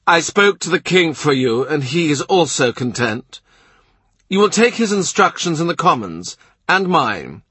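A man voicing a blustering, hot-tempered middle-aged English duke in an audiobook, with loud, abrupt, scornful outbursts and a husky voice. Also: a little high, very animated, a little slow, no echo, voice pushed up, full character voice. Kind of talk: audiobook character reading.

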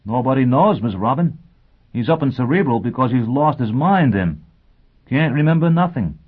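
A man's voice: mid-range voice, lively intonation, moderate pace, slightly rough, pitched above the performer's own voice. A man voicing a little army sergeant, folksy and blunt, with chummy, uneducated, plain-spoken talk. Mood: chatty, dismissive. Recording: thin, phone-like band-limited sound.

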